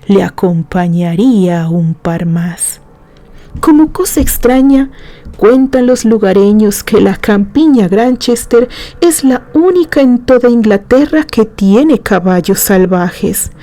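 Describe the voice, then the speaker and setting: A woman from Mexico narrating a written story in a gentle storytelling manner, reading from a script. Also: medium pitch. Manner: slow, gentle storytelling, softening to a hush. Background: background music.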